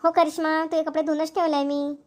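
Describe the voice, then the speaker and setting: Chatty, agreeable village wife, played by a woman, very high pitch, rural Maharashtrian accent, cartoon. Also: little pitch movement, fast talker, a little mellow, close to an everyday voice. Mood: caring, chatty. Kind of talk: cartoon family dialogue.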